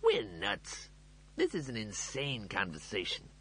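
A man's voice: mid-range voice, wildly swooping pitch, a little slow, vocal fry, fairly bright tone, husky, voice pushed up, heavily acted. A man voicing a vain, opinionated humanoid male cat in an audiobook, emphatic and self-assured. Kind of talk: audiobook character voice.

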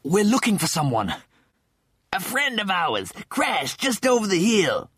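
Whiny panicky spaceship crewman, way up high, swoops all over, sci-fi audiobook. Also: very animated, moderate pace, dry recording, studio quality. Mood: urgent, breathless. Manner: exclamatory, incredulous, highly strung. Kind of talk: audiobook character acting.

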